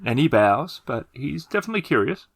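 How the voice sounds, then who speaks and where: A man's voice: medium pitch, sing-song, fairly quick, gravelly, no room sound. A man working as game master, improvising casual scene descriptions for a tabletop game and circling back to rephrase.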